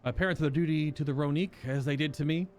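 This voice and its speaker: A man's voice: a little high, racing, soft background music, close to an everyday voice. A man voicing an earnest, courteous nobleman from a fantasy game, formal and reflective in his wording. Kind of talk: reading fantasy game dialogue aloud.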